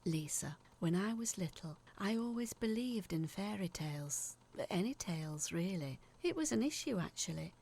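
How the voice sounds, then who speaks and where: A woman's voice: lowish voice, animated, normal speed, few pauses, a little mellow. A woman playing an earnest, haunted letter writer, confiding eerie childhood tales in a dramatic scripted narration. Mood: confiding, wistful.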